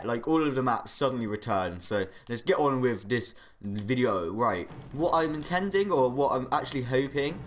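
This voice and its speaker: A man's voice: on the low side, a little hiss or hum. A young man with a stuffed nose giving chatty, rambling commentary over his gameplay, explaining tower placement tips. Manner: chatty, rambling, lots of "like" and restarts. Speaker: gaming channel host.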